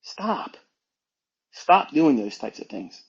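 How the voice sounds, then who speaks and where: Husky guy doing an off the cuff lecture, blunt and preachy, kinda muffled lo-fi audio. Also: mid-range voice, sing-song, slow. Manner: emphatic, blunt, admonishing, repeats phrases for stress. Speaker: trading educator hosting an online audio talk. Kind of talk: informal unscripted monologue, lecturing.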